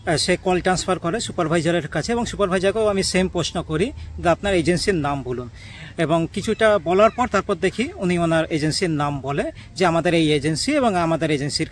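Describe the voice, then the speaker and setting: A man with a slightly high voice and a West Bengal Bengali accent hosts a video. He explains things casually, with faint noise behind him. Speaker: video host.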